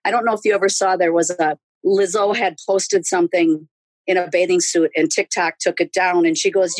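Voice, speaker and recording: A woman's voice: on the low side, dry recording, low-quality recording. A woman in her sixties, a podcast guest chatting casually and telling stories, laughing often. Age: 60s. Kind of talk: casual interview chat.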